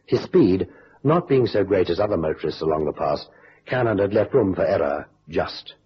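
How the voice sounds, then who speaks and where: An actor playing the wry storyteller of an old-time detective radio drama, narrating with dry, dramatic timing. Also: male voice, low voice, expressive, normal speed, husky, full character voice. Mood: dry suspense. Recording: dull vintage radio recording, faint hiss.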